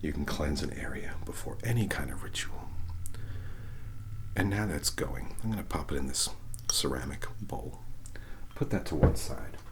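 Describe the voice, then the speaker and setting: A man softly guiding an ASMR reiki session, half-whispering slowly and checking in with "okay?". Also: very deep voice, animated. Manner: whispery, slow and soothing, frequent "okay?" check-ins. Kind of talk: soft-spoken ASMR guided session.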